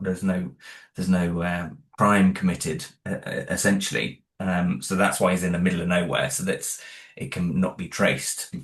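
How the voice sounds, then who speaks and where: A man being interviewed about the audio drama he created, hesitant, with many fillers and restarts. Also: low voice. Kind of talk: interview guest.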